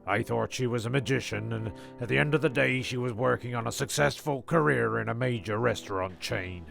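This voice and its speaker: Narrator, played by a man: mid-pitched, gravelly, rambling, self-important comedy podcast storyteller. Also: a little fast, fairly bright tone. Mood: nostalgic. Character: rambling, indignant podcast narrator telling absurd anecdotes. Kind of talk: comic monologue and scripted podcast. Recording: music behind the voice.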